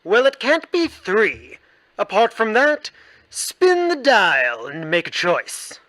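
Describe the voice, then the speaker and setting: A woman's voice: medium pitch, very animated, takes it slowly, a bit bright. A woman playing a wry, needling show character in theatrical 1940s radio drama style. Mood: goading. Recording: noisy old-radio style sound.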